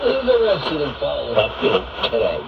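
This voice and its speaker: A man delivers news commentary as a radio host, emphatic and deliberate, with dramatic phrasing. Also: fairly high pitch, wildly swooping pitch, gravelly. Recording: noisy, muffled shortwave radio reception. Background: shortwave radio static.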